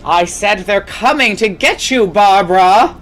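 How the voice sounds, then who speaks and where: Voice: mid-range voice, unhurried, fairly bright tone, pitched above the performer's own voice, close to an everyday voice. A reader voicing a gaunt, eerie man who is oddly polite and apologetic, in a spooky story. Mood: commanding.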